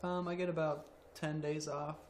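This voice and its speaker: A young man around twenty, a college student athlete, answering interview questions quietly with many ums. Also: fairly high pitch, little pitch movement, moderate pace, a little hiss or hum. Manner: quiet, soft-spoken, hesitant with ums.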